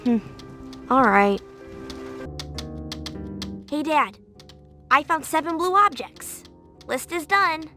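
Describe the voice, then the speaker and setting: Whiny bored little girl for a video game, high voice, really swingy, music behind. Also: sing-song, takes it slowly, pauses often, bright, forceful tone, heavily acted. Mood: flat compliance. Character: bored, restless young girl, curious and sulky. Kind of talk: video game cutscene voice acting.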